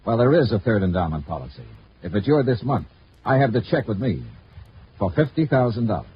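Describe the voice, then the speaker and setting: An actor playing a dry, probing insurance investigator in a 1950s radio detective drama, clipped and matter-of-fact. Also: male voice, lowish voice, big pitch swings, a little fast. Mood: probing, businesslike. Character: dry, probing male insurance investigator narrating his case. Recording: dull, noisy old radio recording.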